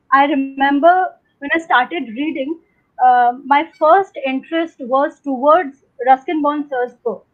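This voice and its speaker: A young woman from India whose first language is Hindi, answering in a rambling, hesitant way full of fillers and restarts, as a panel guest on a video call. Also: high voice. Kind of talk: unscripted conversational answers. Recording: tinny phone-like line.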